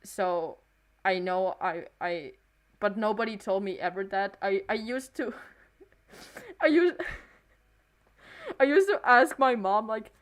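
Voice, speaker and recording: A woman's voice: mid-range voice, animated, slow pace, pauses often. Hesitant teenage girl rambling on stream. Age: late teens. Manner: hesitant, many restarts and trailing thoughts. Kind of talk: casual rambling stream chat. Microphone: desk microphone.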